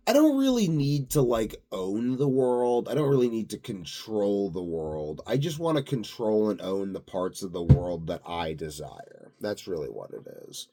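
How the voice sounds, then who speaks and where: Male vlogger, low register, big swings in intonation; self-assured, grandiose, thinking out loud in an unscripted ramble. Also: big pitch swings, moderate pace, hardly any pauses. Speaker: self-styled health and sleep expert vlogger. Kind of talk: rambling unscripted monologue to camera.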